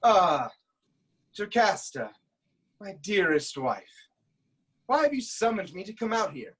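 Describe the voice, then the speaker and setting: A man's voice: high-pitched, big pitch swings, takes it slowly, fairly bright tone, slightly rough, full character voice. An actor playing a proud, troubled king haunted by prophecy, in an intense, declamatory reading of Greek tragedy. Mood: commanding.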